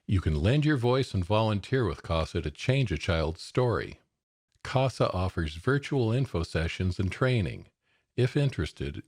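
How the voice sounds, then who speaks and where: Very deep, gravelly American male announcer. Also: dry recording, studio quality. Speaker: public service announcer. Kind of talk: scripted radio announcement.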